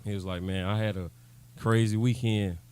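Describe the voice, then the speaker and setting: A man's voice: on the low side, fairly even intonation, moderate pace, darkish tone, clean tone. An American man co-hosting a podcast, explaining things in a laid-back, slangy, casual way. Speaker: podcast co-host.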